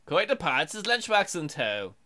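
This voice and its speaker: A man's voice: fairly high pitch, very animated, normal speed, bright, forceful tone. A man hosting a let's play, reading game text aloud and adding casual, sarcastic, joking commentary.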